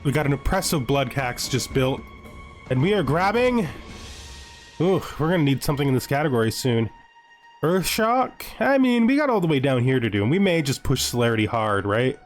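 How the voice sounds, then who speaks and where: A man hosting a gaming video with playful commentary, talking to himself in an animated way and often repeating phrases. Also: mid-range voice, moderate pace, faint music behind. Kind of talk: playful gameplay commentary with a scripted lore narration.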